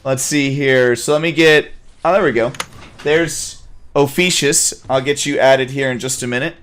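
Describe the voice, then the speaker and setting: Man, stream host, mid-pitched voice, upbeat, chuckling, busy. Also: fairly even intonation, moderate pace, fairly bright tone. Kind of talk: casual gaming stream commentary.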